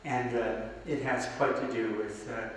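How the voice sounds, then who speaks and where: A man whose first tongue is Slovak reads his poems in a slow, deliberate way, then chats loosely between them. His voice is heard from some distance in a big room with long, ringing reverb and a faint hiss behind it. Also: medium pitch, fairly even intonation. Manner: slow deliberate poem reading, chatty aside with fillers. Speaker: poet giving a reading. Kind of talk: poetry reading with anecdotes. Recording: echoey hall, distant mic, faint noise.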